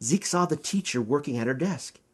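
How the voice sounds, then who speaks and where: A man reading a children's storybook aloud as storyteller, with expressive, dramatic emphasis. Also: fairly high pitch, a little fast, husky.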